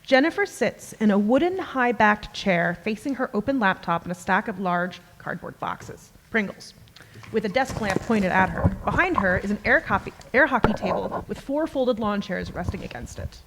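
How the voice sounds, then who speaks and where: A woman's voice: mid-range voice, expressive, moderate pace. A woman reading out stage directions as scripted narration at a live play reading. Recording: echoey theatre, clearly noisy.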